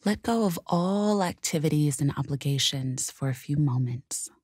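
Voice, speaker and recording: A woman leading a guided meditation in a calm, gentle, soothing and measured way. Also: deep voice, slightly rough, dry recording, clean studio sound.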